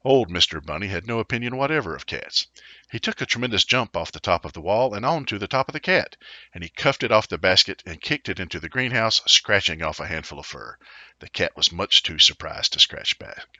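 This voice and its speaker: A man reading a children's story aloud in an animated, theatrical way. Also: sits low, a little fast, dry recording. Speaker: storybook reader. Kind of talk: expressive children's story narration.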